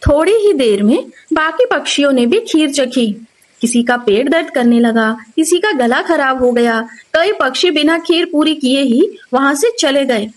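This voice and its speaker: A woman's voice: sits high, expressive, measured pace. A woman narrating a scripted moral story for children as the storyteller.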